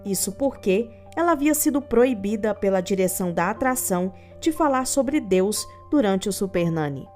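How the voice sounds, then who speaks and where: A woman's voice: mid-range voice, animated, moderate pace, few pauses, a little mellow. Woman narrator, scripted report over music. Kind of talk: scripted news-style narration.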